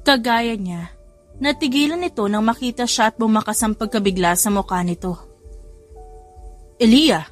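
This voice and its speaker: A woman narrator reading a scripted romance story as an audiobook. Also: a little high. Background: background music.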